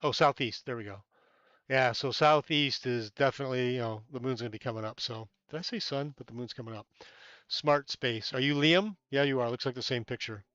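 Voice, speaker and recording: A man's voice: mid-range voice, a little fast, a bit bright, dry recording, muffled recording. A man hosting a casual amateur astronomy live stream from inside a small tent, chatting with viewers, thanking them by name, rambling with many fillers, and a little stuffy-nosed.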